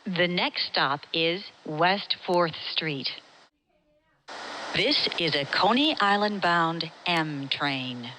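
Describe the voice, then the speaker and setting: A woman reading scripted train announcements as a transit announcer, in measured, separate phrases. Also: fairly low pitch, lively intonation, sharp tone, a little hiss or hum, rough recording.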